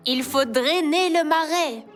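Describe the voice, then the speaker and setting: A fretful cartoon grandmother, very slow and high-voiced. She exclaims dramatically, with music clearly playing behind her. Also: a bit bright. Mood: alarmed, admiring. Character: fretful village grandmother, easily alarmed. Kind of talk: French-dubbed children's cartoon acting.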